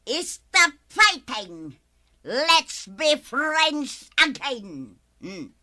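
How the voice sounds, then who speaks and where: A woman playing a quarrelsome, hot-tempered village woman with an Ilocano accent in a broadcast comedy drama, given to scolding and drawn-out exclamations. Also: high-pitched, big pitch swings, sharp tone, exaggerated voice. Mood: sulky, subdued.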